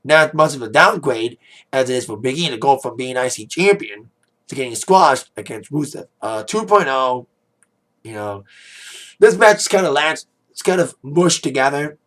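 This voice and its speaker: A man with a raspy, worn-out voice giving a casual spoken review, rambling with plenty of "like" and "uh". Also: mid-range voice, animated, normal speed, fairly bright tone. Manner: rambling, heavy on "like", "uh" and "you know". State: hoarse, voice fading after a DJ gig. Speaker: wrestling pay-per-view reviewer.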